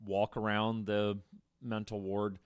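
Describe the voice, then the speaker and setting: A man's voice: on the low side, level tone, slow, clean tone, no echo, studio quality. A man co-hosting a podcast, telling true-crime stories casually in a wordy way full of asides. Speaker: podcast co-host.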